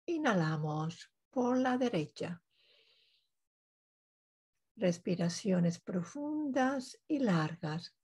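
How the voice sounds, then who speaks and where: A woman guides a meditation in a very husky, breathy voice. She speaks extremely slowly, in short, calm instructional phrases. Long pauses separate each step. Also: mid-range voice.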